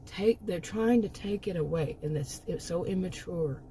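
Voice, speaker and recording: A woman's voice: on the low side, moderate pace, warm tone, a little hiss or hum, rough recording. A middle-aged woman hosting her own channel, giving a rambling, emphatic opinion monologue with many restarts and asides while she is losing her voice. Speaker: commentary channel host.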